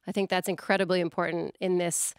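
A woman's voice: fairly low pitch, flat delivery, fast talker, smooth, dry recording, studio quality. A woman hosting a music interview, speaking with effusive praise. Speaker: interview host.

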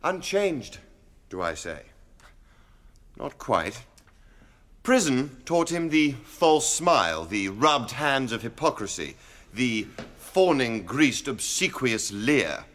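An actor playing a pompous, self-satisfied government official giving a theatrical speech. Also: male voice, medium pitch, sing-song, slow pace, a bit bright. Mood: grandiose, scornful. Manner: oratorical, pompous. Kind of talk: theatrical public address.

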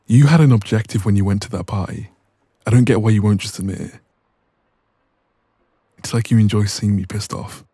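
Man voicing dominant young ex-boyfriend, smug, mellow, breathy, intimate audio roleplay, close dry recording. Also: on the low side, a little fast, no room sound. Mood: irritated, confrontational. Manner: smug, teasing, casual. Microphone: close microphone.

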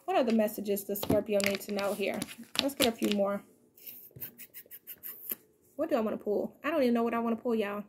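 A woman's voice: fairly high pitch, warm tone, slight background noise. A young woman reading tarot cards to the camera from her living room couch. She talks loosely and chattily to her viewers, addressing them as y'all, and reads guidebook passages aloud. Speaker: tarot reader. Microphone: fixed camera a few feet away.

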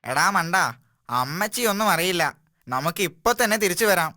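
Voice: mid-range voice, expressive, normal speed, bright, forceful tone, no room sound, studio quality. A performer voicing a mischievous, carefree young animal in a children's cartoon, who teases a friend. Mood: coaxing. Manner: teasing, mocking.

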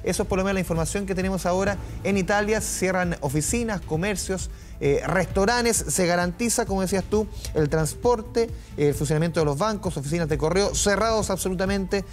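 A man's voice: high voice, fairly quick, faint music behind. A man anchoring live news, talking in a conversational way that repeats and restates points. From Chile. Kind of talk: live studio news commentary.

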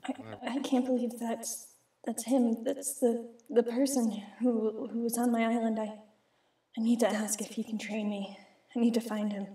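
A woman playing a hesitant magic guild member with halting speech in a fantasy game roleplay. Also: a little high, flat delivery, dark tone, slightly rough. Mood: flustered.

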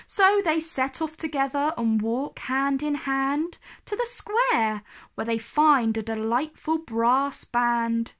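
A woman narrating a children's storybook aloud with expressive, deliberate phrasing. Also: high-pitched.

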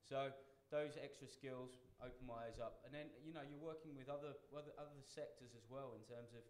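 A man's voice: medium pitch, fairly even intonation, a little fast. A man in his forties, a chef on a panel, talking earnestly to students with many restarts. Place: large function room with audience. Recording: echoey hall, faint room noise.

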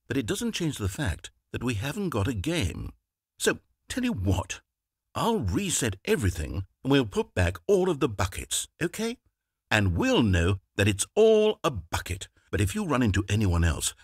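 A fussy, theatrical game narrator. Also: male voice, lowish voice, no echo, full character voice. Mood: relieved. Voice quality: husky, very animated delivery. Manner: dramatic, exasperated and condescending. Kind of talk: scripted video game voice acting. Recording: dry close studio recording.

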